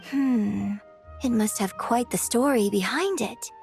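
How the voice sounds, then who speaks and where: A woman's voice: fairly high pitch, slow, vocal fry, a little mellow, faint music behind. A woman voicing a refined, courteous young artist in a video game, polite, graceful and articulate. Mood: gracious. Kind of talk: scripted video game dialogue acting.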